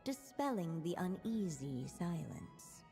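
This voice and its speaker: Game narrator, woman: lowish, mellow, grave fairy-tale telling in a long reverberant space. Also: big pitch swings, heavily acted. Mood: solemn, tender. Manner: measured, solemn storytelling. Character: gentle, solemn female storybook narrator of witches' tale. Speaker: storybook narrator. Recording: long reverb with music behind. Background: background music.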